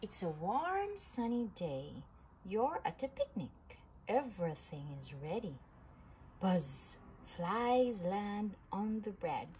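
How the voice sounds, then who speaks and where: A woman reading a children's science book aloud in an animated storybook manner, with emphasis for young listeners. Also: mid-range voice, takes it slowly. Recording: clearly noisy home recording.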